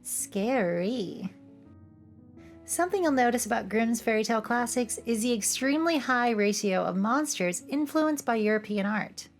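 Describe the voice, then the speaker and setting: A woman with a high voice delivers humorous scripted commentary as a host. She slips in playful, cheeky asides. Music plays behind her. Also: expressive, normal speed. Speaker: video essay host. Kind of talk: scripted, humorous commentary over cartoon clips. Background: background music.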